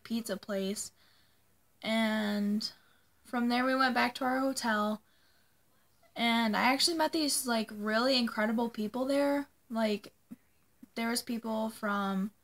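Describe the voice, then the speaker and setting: Young woman vlogger with a higher voice. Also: a bit bright. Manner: informal, peppers speech with "like". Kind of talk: casual personal storytime to viewers.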